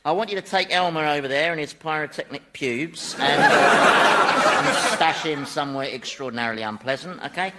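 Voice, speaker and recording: An actor playing a chatty, exasperated devil who runs hell, wry and dismissive, in a radio comedy. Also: male voice, a little high, normal speed, very noisy, lightly acted. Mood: amused. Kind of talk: broadcast radio comedy acting.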